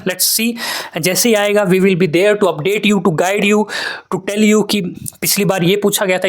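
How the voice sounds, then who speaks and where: A man with an Indian accent giving earnest, instructive advice to students as an exam coach, often repeating points in lists for emphasis. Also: high voice. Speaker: exam preparation coach and channel host. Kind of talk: advice talk to students, mixing Hindi with English.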